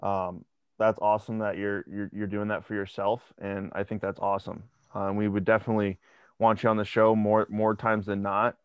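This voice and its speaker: Brief: young male podcast co-host, lowish voice, casual sports talk, dry dull recording. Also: flat delivery, moderate pace, clear, resonant tone, no room sound. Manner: conversational, repeats words when restarting.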